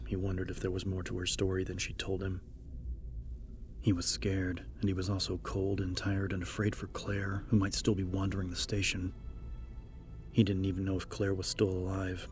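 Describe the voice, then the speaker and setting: A man's voice: low voice, a little hiss or hum. A man reading scripted horror fiction as a dramatic audiobook narrator.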